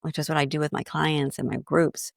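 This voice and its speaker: A middle-aged woman with a faint Boston accent and a gravelly edge, talking in a lively, storytelling way. Her voice is close and dry, with no room sound around it. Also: low-pitched, a little fast, dry recording. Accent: slight Boston. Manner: animated storyteller, acts out inner voices. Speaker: grief coach guest. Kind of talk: casual podcast conversation.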